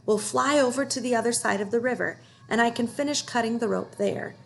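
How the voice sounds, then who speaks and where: A woman voicing a steady, determined boy rescuer in a storybook, reassuring and encouraging. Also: on the high side, fairly quick, gravelly, a little hiss or hum.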